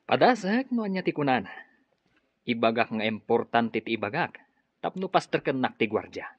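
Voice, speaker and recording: A man's voice: mid-range voice, big pitch swings, normal speed, husky, no echo. A greedy schemer in an Ilocano radio drama. His mid-range voice swings up and down with menacing emphasis. The sound is dull and dry. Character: scheming businessman relative, forceful and greedy.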